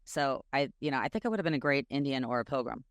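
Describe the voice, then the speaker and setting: A woman co-hosting a chatty podcast, telling stories with animated pitch jumps. Her voice is smooth and clear. It sits close to the mic in a dry, dead room. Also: fast, no room sound. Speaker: podcast co-host. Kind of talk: casual chat.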